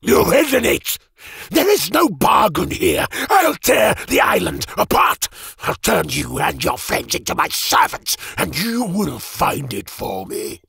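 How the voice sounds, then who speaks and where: A raspy, shrieking half-metal mage. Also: male voice, on the high side, huge pitch swings, takes it slowly, no room sound, pitched above the performer's own voice, full character voice. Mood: furious. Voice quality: raspy, breathy whisper sliding into shrieks. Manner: theatrical, snarling, swings from hissing threat to frantic shouting. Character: menacing male mage with a metal arm and a red glowing eye, greedy and volatile. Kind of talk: audiobook character voice.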